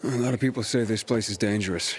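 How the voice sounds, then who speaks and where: A man's voice: on the low side, fast, background noise, lightly acted. Man voicing a laconic wanderer hero. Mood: guarded. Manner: laconic, guarded. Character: guarded young male wanderer hero.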